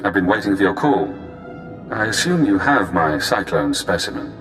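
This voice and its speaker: An actor playing a cool, cunning spy handler in a video game, speaking in measured, cerebral, clipped phrases. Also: male voice, deep voice, very animated, fairly bright tone, faint music behind. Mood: cool, appraising.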